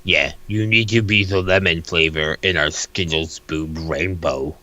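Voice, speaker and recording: Casting: pushy, lewd female protest leader played by a man, very low and hard-edged, blunt delivery, comic webcomic dub. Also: steady tone, a little slow, pushed and bright. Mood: insistent. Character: pushy, lewd woman leading a topless protest. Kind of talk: comic dramatic reading of a webcomic.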